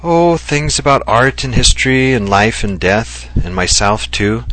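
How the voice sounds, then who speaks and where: A man voicing a gentle, loving Japanese wife who speaks earnestly and reflectively. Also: medium pitch, lively intonation, unhurried, poor audio. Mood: earnest, tender. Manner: earnest and heartfelt, softly reflective.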